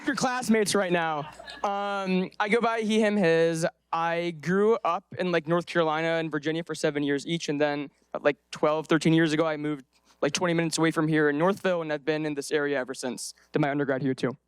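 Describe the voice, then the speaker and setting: A man's voice: fairly high pitch, steady tone, a little fast, clear, resonant tone, no echo. A man in his twenties, a fourth-year MD-PhD student, introducing himself casually with lots of "like".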